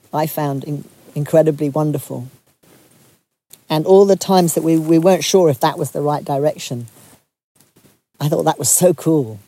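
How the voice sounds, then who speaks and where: A retreat leader from Zambia giving a soft, reflective talk to a group, pausing often and restarting phrases. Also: mid-range voice, no echo.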